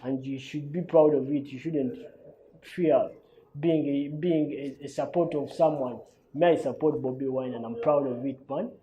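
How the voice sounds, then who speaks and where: A man's voice: mid-range voice, steady tone, normal speed, mellow, slight background noise, low-quality recording. A young Ugandan man hosting his own talk show in a loose, chatty monologue, often repeating words and tagging lines with "you know" and "man". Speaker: host. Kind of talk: casual monologue.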